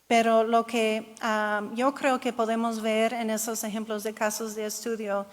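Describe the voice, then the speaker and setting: A woman's voice: on the high side, level tone, a little slow. A woman giving a keynote talk, answering audience questions in careful Spanish that is not her first language, with restarts. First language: English. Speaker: keynote speaker. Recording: long hall reverb.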